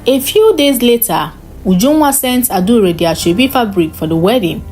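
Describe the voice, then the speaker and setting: A woman with a fairly high voice tells a scripted folktale. Her intonation swings in a lively, dramatic way. Faint music plays behind her. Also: normal speed. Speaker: storyteller narrator.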